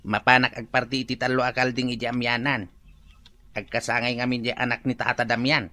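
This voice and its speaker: A man plays an eager, easygoing working husband with a Filipino accent in a radio comedy drama. His mid-pitched voice is bright and clear-cut. The sound is very dry. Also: flat delivery, a little fast, no echo, close to an everyday voice.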